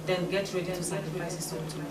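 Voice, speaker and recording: An actress playing a wrathful female spirit queen who gives booming commands, with loud music behind her in an echoey space. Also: fairly even intonation, fast talker, far from the mic, heavy background noise, lightly acted. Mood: menacing.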